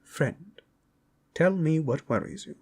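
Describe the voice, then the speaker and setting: A man's voice: on the high side, lively intonation, slow, darkish tone, breathy edge, heavily acted. A man playing an easygoing werewolf companion in a fantasy audio drama, with a casual, conversational delivery. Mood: placating.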